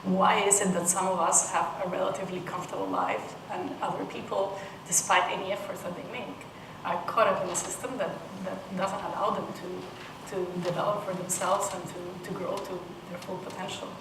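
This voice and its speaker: A woman from Peru giving a conference talk, thoughtful, with frequent restarts and soft laughs. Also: fairly low pitch, level tone, moderate pace. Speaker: panel speaker. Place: conference room. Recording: roomy, distant mic with noise.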